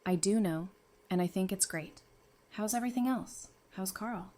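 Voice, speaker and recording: A woman acts out a warm, supportive doctor for an audio drama. Her voice is mid-range, and she speaks casually with gentle teasing and plenty of reassurance. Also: medium pitch, moderate pace, slight background noise, lightly acted. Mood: reassuring.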